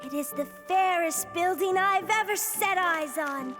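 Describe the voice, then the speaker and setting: Very high-voiced cartoon schoolgirl. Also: unhurried, over music. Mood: commanding, proud. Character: young schoolgirl in a children's cartoon. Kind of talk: animated series voice acting.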